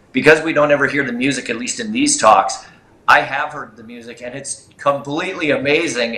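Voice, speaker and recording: Man co-host, bright forceful tone, eager and emphatic, roomy echo. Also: mid-range voice. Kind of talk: livestream chat. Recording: echoey room.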